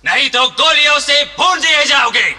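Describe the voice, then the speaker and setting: An actor playing an enemy army commander in a radio play, shouting drawn-out, menacing orders to surrender. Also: male voice, very high voice, animated, big-room sound, exaggerated voice.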